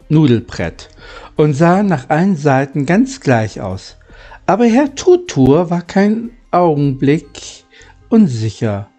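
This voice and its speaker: A man reads a children's tale to his listeners. He takes his time, stumbling a little, and his pitch moves around in a lively way. Music plays along with his voice. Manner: halting reading with small stumbles. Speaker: host reading a story aloud. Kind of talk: greeting listeners, then audiobook-style reading of a children's novel.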